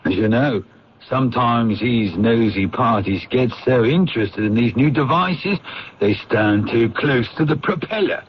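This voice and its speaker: An actor playing a sly, menacing villain in a radio drama, making veiled threats in a measured, insinuating way. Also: male voice, medium pitch, close to an everyday voice. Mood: slyly menacing. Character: smooth, menacing male villain, flying-wing maker hinting at murder. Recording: dull, noisy old radio broadcast.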